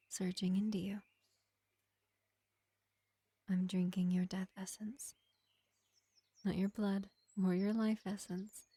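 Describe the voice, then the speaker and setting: A woman playing a gentle, ancient pacifist vampire in an intimate fantasy roleplay, speaking in an unhurried, soothing half-whisper. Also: mid-range voice, husky, no echo. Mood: calm, gentle. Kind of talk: slow intimate ASMR-style fantasy roleplay.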